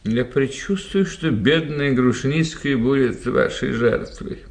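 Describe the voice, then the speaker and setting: An actor playing a witty, sceptical society doctor in a radio play, gossiping in a wry, theatrical way. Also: male voice, medium pitch, slow pace. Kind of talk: radio drama acting.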